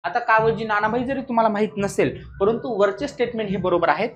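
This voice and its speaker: A male exam coach with a fairly high voice explains material as in a classroom. He is didactic and repeats the important points, often putting brief rhetorical questions to his students to make sure they follow. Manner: didactic, repetitive, checking understanding with rhetorical questions.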